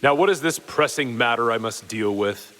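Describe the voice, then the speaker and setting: A man's voice: medium pitch, moderate pace, bright, forceful tone, slight background noise, close to an everyday voice. A man playing a stern, noble, war-weary Roman governor in an audio drama, grave and imperious. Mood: impatient, authoritative. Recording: long hall reverb, water sounds behind.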